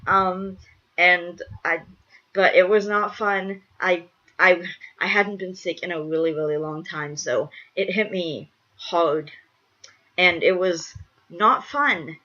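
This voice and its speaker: A woman's voice: lowish voice, slow pace, sharp tone, dull audio. A young woman with a congested, stuffed-up voice chatting casually to viewers, rambling and emphatically repeating herself. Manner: repetitive, emphatic, restating phrases. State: congested from a cold. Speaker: vlogger.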